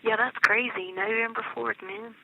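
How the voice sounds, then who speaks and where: A woman's voice: a little high, steady tone, normal speed, vocal fry, slight background noise. A middle-aged American woman chatting casually on a phone call, colloquial and insistent, often checking that she is understood. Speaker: inmate on a jail phone call. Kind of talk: casual personal phone conversation. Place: recorded jail phone call. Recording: tinny, narrow telephone line.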